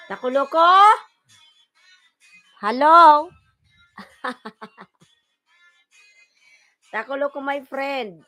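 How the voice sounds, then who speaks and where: A woman with a Filipino accent and Tagalog as her first language, hosting a casual livestream and repeatedly calling out names and shout-outs to viewers. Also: high voice, animated, drawn-out words, pauses often, faint music behind. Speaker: livestream host. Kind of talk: casual live-stream chat, greeting viewers and reading comments.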